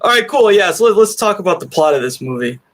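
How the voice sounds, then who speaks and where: A man with a voice a bit higher than most men's. He hosts a film review podcast and talks in a loose, conversational way with his co-host. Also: sing-song, fast talker, fairly bright tone. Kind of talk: casual film discussion.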